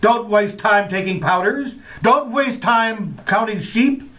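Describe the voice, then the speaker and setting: An actor playing a grandiose, florid poet who declaims doggerel theatrically in an old-time radio comedy. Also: male voice, sits high, takes it slowly, a bit bright. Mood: declaiming, jaunty.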